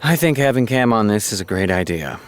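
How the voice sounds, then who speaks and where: A male actor as a grown man with a low voice that swings widely in pitch. He is a sardonic, stubborn boss in an audio drama, dry and wordy, conceding things only grudgingly. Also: expressive, fairly quick, close to an everyday voice. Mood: dry, resigned. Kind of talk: naturalistic audio drama acting.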